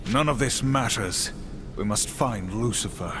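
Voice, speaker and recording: A man's voice: on the low side, a little slow, natural voice. Man as a stern warrior horseman, echoing over music. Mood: grave. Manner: formal, grave. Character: stern, humorless, dutiful male warrior horseman. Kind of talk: video game cutscene acting. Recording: long reverb, clear music behind.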